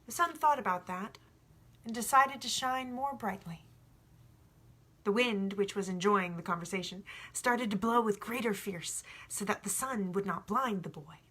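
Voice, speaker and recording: A woman reading a story aloud in an expressive, informal way, like a home storyteller. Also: medium pitch, normal speed. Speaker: storybook reader. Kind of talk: book read aloud with informal asides.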